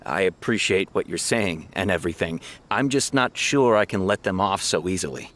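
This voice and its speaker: An actor playing a cautious but soft-hearted town officer in a video game, talking in a hesitant, conversational manner. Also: male voice, low-pitched, animated, moderate pace. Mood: pondering, doubtful.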